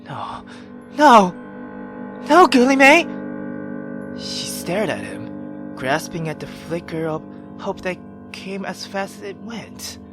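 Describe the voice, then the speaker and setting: A man doing excitable, slangy let's play commentary and dramatic read-aloud narration of a visual novel. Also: sits high, slow, over loud music. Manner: theatrical, slangy, exclamatory.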